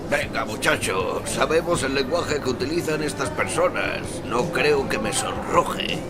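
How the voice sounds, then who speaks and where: A man's voice: medium pitch, lively intonation, a little slow, lightly acted. Theatrical old-school professor acted by a man, music behind. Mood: paternal. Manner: theatrical, pedantic, sprinkles Latin. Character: learned, ironic male archaeology professor, paternal mentor. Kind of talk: radio soap opera acting.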